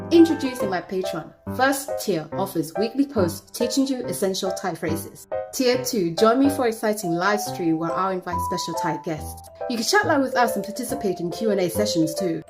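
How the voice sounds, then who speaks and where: A woman hosting and delivering commentary to her audience. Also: medium pitch. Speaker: host. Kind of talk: video commentary.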